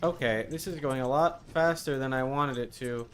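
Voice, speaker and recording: A man's voice: medium pitch, normal speed. A man streaming a video game with casual commentary, muttering asides to the characters as he plays. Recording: faint background game noise.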